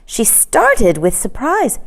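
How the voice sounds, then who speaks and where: A woman storyteller reading a children's book aloud with expressive narration. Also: on the high side, takes it slowly, gravelly.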